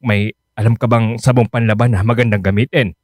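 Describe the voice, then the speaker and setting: A man's voice: mid-range voice, steady tone, brisk, no echo, natural voice. An actor playing a concerned, insistent middle-aged Filipino father in a radio drama, speaking earnestly. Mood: hesitant, casual. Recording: dry studio with music underneath.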